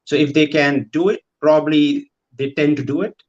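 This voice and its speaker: A man's voice: fairly high pitch, steady tone, normal speed. A man giving unscripted answers as a parent panelist, recorded with dull, lo-fi sound.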